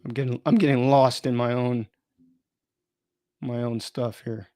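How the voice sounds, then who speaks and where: A man's voice: mid-range voice, steady tone, unhurried, mellow, no room sound, studio quality. A man chatting informally and thinking aloud as he runs an unplanned live stream, often interrupting himself in a rambling way.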